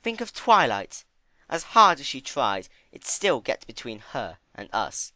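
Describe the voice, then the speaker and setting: A man's voice: medium pitch, big pitch swings, a little slow, pushed and bright, no room sound, voice pushed up, full character voice. A man voicing a refined, vain female pony in an affected, theatrical manner for a dramatic storybook reading. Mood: sombre. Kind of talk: dramatic fan fiction reading.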